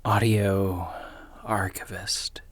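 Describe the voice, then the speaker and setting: A man playing a self-doubting young podcaster in an audio drama, speaking in a halting, unsure way. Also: deep voice, level tone, no echo, heavily acted. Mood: hesitant, torn. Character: hesitant, self-doubting young male podcaster turned investigator.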